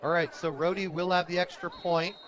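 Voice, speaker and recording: High-pitched American man calling football, crowd behind. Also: steady tone, a little fast, lo-fi sound. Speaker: radio play-by-play sports announcer. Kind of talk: live American football commentary. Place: outdoors at a high school football game. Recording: outdoor stadium broadcast with crowd noise. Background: crowd at a stadium.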